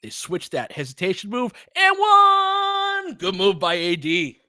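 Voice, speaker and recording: A man calling basketball play-by-play as a fan commentator, clipped and quick, breaking into excited bursts and short laughs. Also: high voice, dry recording, clean studio sound.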